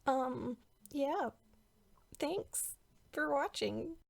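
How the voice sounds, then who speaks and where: Casting: woman with a fairly high voice, informal artist's narration, self-deprecating, conversational. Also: animated, drawn-out words, gravelly. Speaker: portrait artist and voice actor for a fan manga dub. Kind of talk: casual behind-the-scenes drawing commentary.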